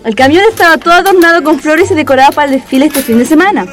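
A woman acts an anxious young Chilean girl in a radio play. She talks quickly in a high, fretful voice, with music under her. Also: lightly acted. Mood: worried, upset. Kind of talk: radio drama acting.

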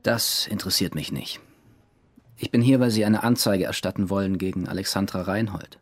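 Husky, dry police detective. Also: male voice, deep voice, fairly quick. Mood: businesslike. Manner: dry, businesslike. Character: matter-of-fact male police detective questioning a witness. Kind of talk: German radio drama acting.

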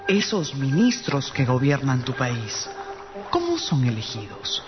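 A woman's voice: a bass voice, huge pitch swings, unhurried, exaggerated voice. A dignified queen of radio drama, formal and probing. Her intonation moves widely over background music in a slightly dull recording. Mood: dignified curiosity. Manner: formal, probing, well-articulated. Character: inquisitive, dignified queen questioning a foreigner.

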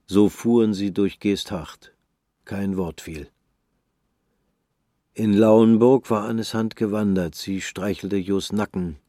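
A man narrating a literary radio drama in a calm, measured, subdued reading. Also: on the low side, no echo, studio quality.

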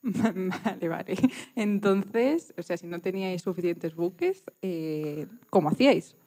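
A woman's voice: on the low side, lively intonation, slow pace, dark tone. A woman from conducting a casual radio interview in an informal, encouraging way.

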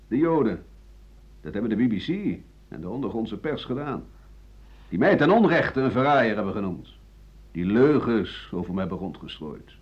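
An actor playing an embittered older French doctor and writer who defends himself against treason charges in an aggrieved, emphatic radio play monologue. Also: male voice, medium pitch, breathy edge. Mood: defiant. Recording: dull 1980 radio drama recording.

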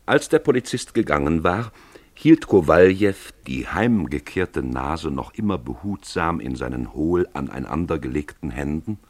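Low husky guy reading the story in a radio play, lively intonation, dry studio sound. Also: no room sound. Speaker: narrator. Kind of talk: scripted literary narration for a radio play.

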